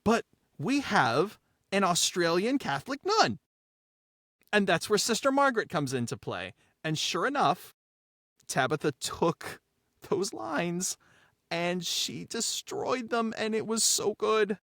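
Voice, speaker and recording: A man's voice: high voice, big pitch swings, slow, a bit bright, dry recording. Enthusiastic man in a dry recording. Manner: enthusiastic, effusive, repeats phrases for emphasis. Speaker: show creator and writer. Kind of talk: casual behind-the-scenes podcast talk.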